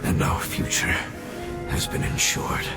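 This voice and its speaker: An actor voicing a dying ancient creature in a game, solemn and weary, with a gravelly voice. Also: male voice, a little slow, lightly acted. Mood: grateful. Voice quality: gravelly, very deep. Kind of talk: game voice acting. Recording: echoey with game music behind.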